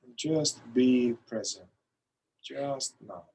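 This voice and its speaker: A man guides a meditation in a husky, middle-pitched voice. He speaks very slowly and gently, leaving pauses between short phrases. The delivery stays calm throughout. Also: rough recording. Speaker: meditation guide, somatic therapist.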